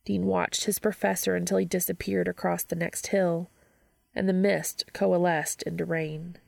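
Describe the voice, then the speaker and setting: A woman's voice: lowish voice, little pitch movement, normal speed, vocal fry, darkish tone, no room sound. A woman reading scripted fiction aloud as a narrator.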